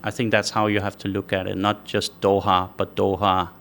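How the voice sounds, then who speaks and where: Uomo danese dalla voce bassa e ruvida, ospite di un'intervista, parla in modo misurato e riflessivo. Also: male voice, completely flat delivery, gravelly, fairly bright tone. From Denmark. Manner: measured, thinks aloud with frequent restarts. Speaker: guest, company founder. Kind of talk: conversational interview answers.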